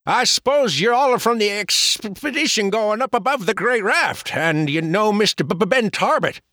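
A man reading an audiobook, voicing an excitable stuttering young male store clerk with a French accent. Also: high voice, moderate pace, no room sound, studio quality, voice pushed up. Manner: stuttering, chattering. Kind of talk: audiobook character voice.

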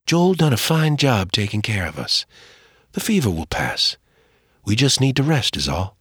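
A man voicing a weak, feverish frontier mother who speaks faintly and slowly. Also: mid-range voice, very animated, fairly bright tone, no echo, clean studio sound. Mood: weak, reassuring. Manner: faint, weak, breathy and slow.

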